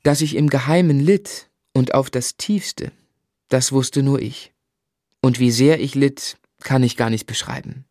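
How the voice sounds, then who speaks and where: Schauspieler als nachdenklicher Ich-Erzähler, trockene Studioaufnahme. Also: male voice, medium pitch, steady tone, unhurried, a little mellow, dry recording, studio quality, lightly acted. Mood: sombre, reflective. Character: grown man narrating his unhappy boyhood, reflective, literary. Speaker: narrator. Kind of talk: scripted narration in German radio drama.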